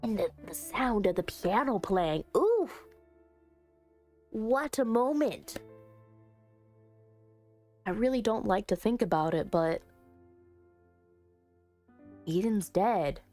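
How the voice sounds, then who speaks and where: A woman doing let's play commentary on a visual novel, reading it aloud and chatting with expressive, playful asides. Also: medium pitch, slow pace, long pauses. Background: soft music.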